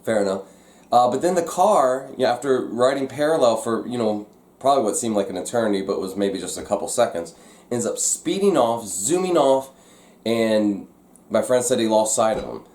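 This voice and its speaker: A man's voice: mid-range voice, lively intonation, moderate pace, a little mellow. A man hosting a vlog, telling a story to viewers in a casual, conversational way. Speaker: vlog host. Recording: echoey, roomy sound.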